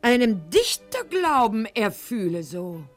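A woman's voice: fairly high pitch, sing-song, faint music behind. An actress playing a proud, articulate eighteenth-century court lady in a radio play monologue, with formal, mannered diction and an indignant, self-justifying air. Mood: flustered, chatty. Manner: eloquent, mannered, formal diction with dramatic pauses and sudden outbursts.

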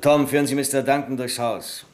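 Senior bank clerk, male actor, mid-pitched, correct and blunt, old radio play with slight background noise. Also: steady tone, brisk, a little mellow, close to an everyday voice. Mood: polite, formal. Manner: formal, restrained, firm. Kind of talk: German radio crime comedy acting. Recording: noisy old radio drama recording.

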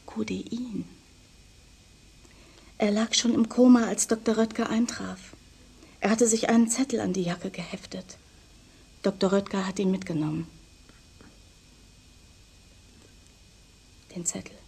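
A gentle, discreet confidante in a radio play. Her voice is mellow and breathy, mid-pitched, and she speaks with quiet composure. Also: fairly even intonation, normal speed, pauses often. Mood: subdued, factual. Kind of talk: quiet, intimate radio drama acting.

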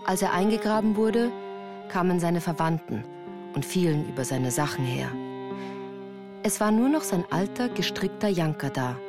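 A woman narrates her own childhood for a radio drama. Her voice is fairly low, composed and grave, with literary phrasing and music clearly audible behind it. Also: expressive, normal speed. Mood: grave, reflective. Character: woman recounting her hard Bavarian childhood. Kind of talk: first-person scripted narration for radio drama.

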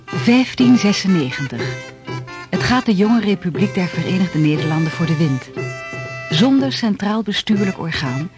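An actor narrating a historical radio play in a formal, measured, declamatory way. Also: male voice, sits high, sing-song. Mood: stately. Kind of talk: scripted narration. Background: music.

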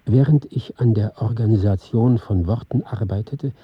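An actor playing an earnest, reflective film pioneer, giving a measured, formal radio drama monologue in a husky voice. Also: male voice, on the low side, dry recording, dull audio. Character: earnest, reflective male film pioneer recounting his experiments. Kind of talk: scripted radio drama monologue, read as lecture.

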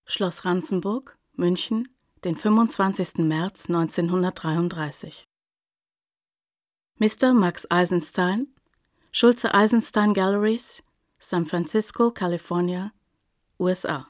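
An actress as a formal radio drama announcer, reading out addresses and dates in a matter-of-fact, deliberate way. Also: female voice, fairly low pitch, no echo, clean studio sound, close to an everyday voice. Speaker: announcer of letter headings. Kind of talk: scripted radio drama narration.

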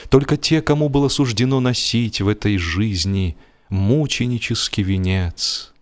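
A man reading literary prose as an audiobook narrator, in a solemn, measured storytelling manner. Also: lowish voice. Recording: music behind the voice. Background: music.

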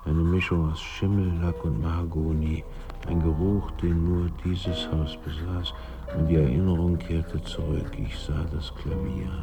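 A brooding writer telling his memories in a radio play. His low voice moves slowly and reflectively, with music clearly underneath. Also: level tone. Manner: measured, reflective. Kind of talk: first-person radio drama narration.

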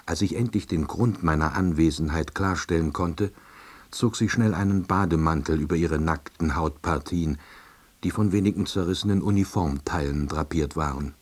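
A man playing a subdued, baffled middle-aged East German salesman, narrating a radio play in a dry, husky voice. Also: very deep voice, normal speed. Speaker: narrator and lead character.

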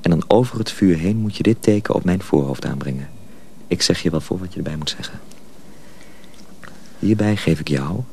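An actor playing a grave, protective older journeyman in a Dutch radio drama, speaking in solemn, hushed tones. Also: low voice, normal speed, creaky, pauses often, a little hiss or hum. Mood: instructing, secretive. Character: grave older journeyman mill hand, protective, sorrowful, secretive.

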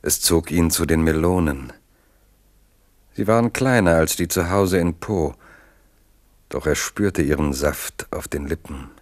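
A man narrating a historical radio drama in a measured, evocative storytelling manner. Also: rumbling low, no room sound, studio quality.

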